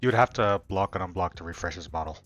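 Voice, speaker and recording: Low-voiced man explaining over game chat. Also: fast talker, a bit bright, no echo. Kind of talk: casual gaming voice chat. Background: music.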